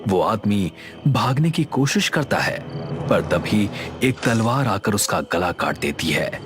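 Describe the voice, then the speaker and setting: A man's voice: lowish voice, big pitch swings, takes it slowly, music in the background. A man narrating a scripted horror story.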